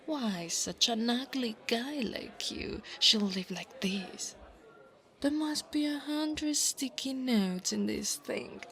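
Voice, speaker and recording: A woman's voice: a little high, slow pace, a bit bright. A woman playing an insecure, mocking young female dragon bully in an ASMR roleplay, with a taunting, drawling delivery. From Italy.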